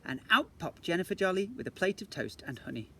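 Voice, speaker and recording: A man's voice: high-pitched, big pitch swings, brisk, vocal fry, sharp tone, slightly rough. A man, a teacher, reading a storybook aloud to young children outdoors, playfully adding questions and asides. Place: outdoors in a garden. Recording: faint outdoor background noise.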